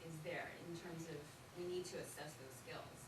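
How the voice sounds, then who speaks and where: A woman's voice: deep voice, fairly even intonation, normal speed. A woman asking a question from the audience at a seminar. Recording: echoey room, distant mic, clear noise.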